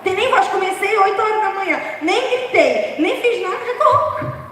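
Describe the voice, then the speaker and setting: A young woman preaching an informal sermon, chatty and humorous, laughing at herself, with a hoarse voice. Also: very high-pitched, animated, normal speed, fairly bright tone, slight background noise. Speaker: preacher from the dance ministry. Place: large church hall. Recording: echoey church hall from far off.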